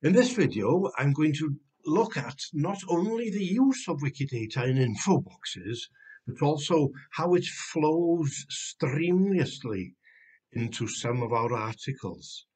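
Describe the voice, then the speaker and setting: Welsh-accented older man explaining calmly. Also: a little high, breathy edge. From Wales. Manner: measured, explanatory, some restarts. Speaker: Wikimedia manager presenting a tutorial. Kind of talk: screen-recorded tutorial narration. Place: home room, indoors.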